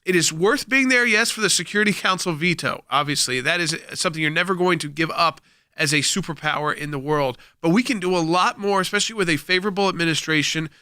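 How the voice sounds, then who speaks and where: A man's voice: fairly high pitch, quick pace, pushed and bright, clean tone, no room sound, studio quality. A man co-hosting a live call-in talk show, explaining points steadily.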